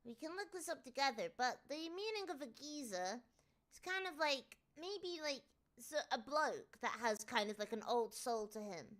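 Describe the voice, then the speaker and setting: A woman with a Northern English accent, chatting casually on a live stream and answering viewers, with sudden excited shouts. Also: high voice, moderate pace. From Northern England. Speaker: VTuber streamer.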